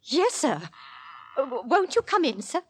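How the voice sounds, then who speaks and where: A woman's voice: sits high, very animated, moderate pace. An actress playing an anxious, scrimping working-class landlady, fussy and colloquial, in 1940s radio drama style. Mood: polite, deferential. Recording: vintage 1940s radio broadcast recording.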